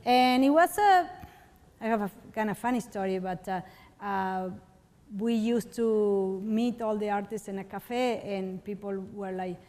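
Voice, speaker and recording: Woman, sculptor, halting storytelling, long reverb. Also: mid-range voice, a little mellow. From Argentina. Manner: rambling, halting, searching for words. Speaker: stone sculptor. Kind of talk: casual interview, storytelling.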